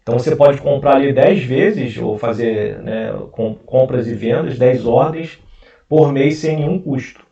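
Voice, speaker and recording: A Brazilian man with a Carioca accent explains his investments in a casual, halting way, full of fillers and restarts. His mid-pitched voice has a gravelly edge. The sound is roomy and dull, as if picked up from a distance. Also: mellow. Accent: Carioca Portuguese. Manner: hesitant, many fillers and restarts. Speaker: investment channel host. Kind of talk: casual screen-share explainer.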